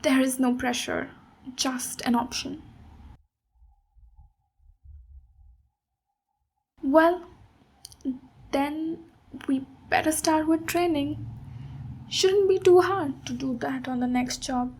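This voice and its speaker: Woman acting as female ogre warrior, high-pitched, slow-paced, gentle, encouraging mentor, intimate ASMR fantasy roleplay. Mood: warm, encouraging. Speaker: ASMR voice actor.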